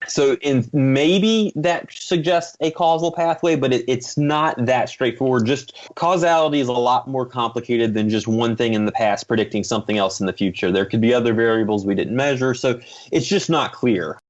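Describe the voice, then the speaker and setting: Measured academic man, slightly dull recording. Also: mid-range voice. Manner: measured, academic, careful qualifying. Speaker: guest expert, psychology professor. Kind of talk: explanatory interview answers.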